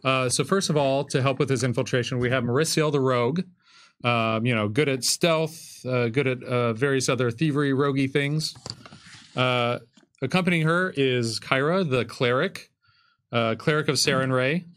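A man's voice: medium pitch, normal speed, fairly bright tone. A man in his thirties co-hosting a casual game presentation, with loose, hesitant phrasing. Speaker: game designer and co-host. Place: small curtained studio, seated at a table. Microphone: microphone on a boom stand.

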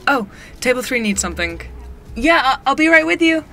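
An actress playing a pushy, teasing coffee shop worker in an audio drama, blunt and sardonic. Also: female voice, sits high, big pitch swings, a little fast, sharp tone, faint music behind, heavily acted. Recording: noisy background ambience.

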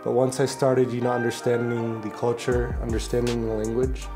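A man's voice: mid-range voice, flat delivery, normal speed. Young guy from egypt, easy chatty interview voice, music under it. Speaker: esports player. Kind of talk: documentary interview.

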